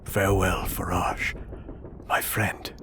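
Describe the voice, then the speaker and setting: A man playing a nervous, loyal English professor in an audio drama, emotional and halting. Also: low voice, little pitch movement, noisy background. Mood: grieving.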